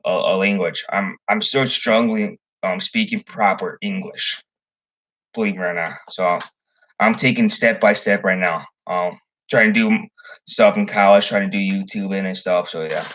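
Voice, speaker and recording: A man's voice: sits low, unhurried, a bit bright. A young man from the Chicago area chatting casually on his fishing livestream, rambling with many ums and restarts. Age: young adult. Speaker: fishing channel host.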